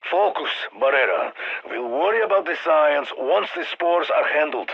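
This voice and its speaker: Gravelly, dry Russian military commander. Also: male voice, fairly high pitch, big pitch swings, normal speed, dull audio, full character voice. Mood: stern, dry. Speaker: squad handler on radio. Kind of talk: scripted game voice lines, mission briefing.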